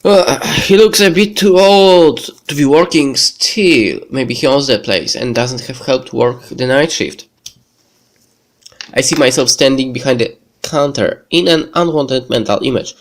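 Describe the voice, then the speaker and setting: A man who is a bit sick hosts a let's play, reading a visual novel script aloud in a halting, stumbling way. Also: mid-range voice. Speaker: let's play video host. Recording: music behind the voice. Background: background game music.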